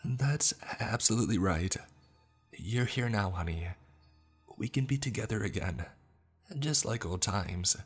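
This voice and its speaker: A man's voice: fairly low pitch, a little slow, husky, faint music behind, pitched above the performer's own voice. A man voicing a ghostly mother whose syrupy sweetness sounds menacing, in a horror story. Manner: sorrowful, syrupy.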